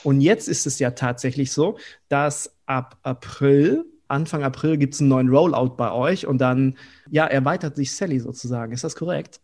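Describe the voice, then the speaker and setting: A man's voice: mid-range voice, normal speed, a little mellow. A man hosting a sponsored podcast on hospitality, talking in an upbeat, colloquial way. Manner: upbeat, colloquial, uses casual emphasis. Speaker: podcast host.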